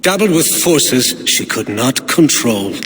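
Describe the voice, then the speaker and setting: Treacherous abbot and secret cult leader for a game; sermonizing taunts, wide swooping delivery, cavernous reverb. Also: male voice, medium pitch, big pitch swings, slow pace, fairly bright tone. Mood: mocking defiance. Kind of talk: dramatic video game cutscene acting. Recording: long echoing hall, faint background noise.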